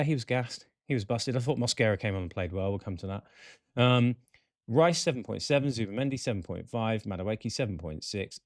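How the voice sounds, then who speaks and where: A man hosting a football fan show, reading out match stats and player ratings with chatty asides in a croaky voice. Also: medium pitch, no echo, studio quality. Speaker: football podcast host. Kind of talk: casual fan-show commentary.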